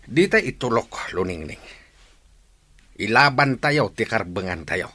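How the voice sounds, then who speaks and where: A man's voice: on the low side, sing-song, measured pace, bright, forceful tone, no echo, exaggerated voice. An actor playing a brooding, resolute young village man, earnest and heavy-hearted, in a radio drama. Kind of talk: Ilocano radio drama acting. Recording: noisy track with birdsong.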